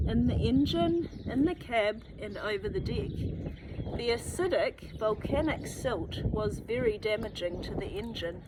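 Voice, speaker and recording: A woman with a New Zealand accent reading a children's book aloud, adding chatty asides and thinking aloud to young listeners. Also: fairly high pitch. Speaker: children's story reader. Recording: noticeable background noise.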